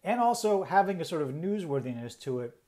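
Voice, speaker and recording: A man's voice: a little high, big pitch swings, a little fast, dark tone. A man presenting a conversational explainer, talking through points in an explanatory way and stressing key words.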